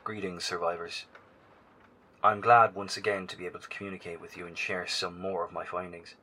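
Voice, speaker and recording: An actor playing an earnest professor in a horror audio drama, broadcasting to survivors in a measured, formal, grave manner. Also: male voice, deep voice, little pitch movement, sharp tone, rough recording. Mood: earnest, troubled.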